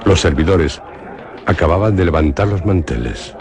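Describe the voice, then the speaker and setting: Spanish radio play narrator guy, super deep voice, slow and grave. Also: lively intonation, gravelly, a crowd in the background. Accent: Spain Spanish. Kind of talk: scripted radio drama narration.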